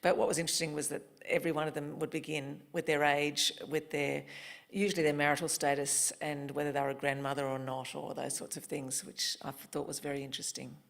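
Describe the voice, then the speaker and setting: A woman on a live panel, measured and dry with wry asides. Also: deep voice, little pitch movement, creaky.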